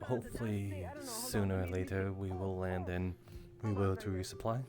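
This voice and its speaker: Man playing a deep-voiced, courteous fantasy bard. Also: level tone, close to an everyday voice. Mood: politely amused. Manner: measured, formal, polite phrasing. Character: courteous, composed male bard serving at a tavern. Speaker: roleplay streamer. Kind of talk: improvised in-character fantasy roleplay. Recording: loud music behind the voice.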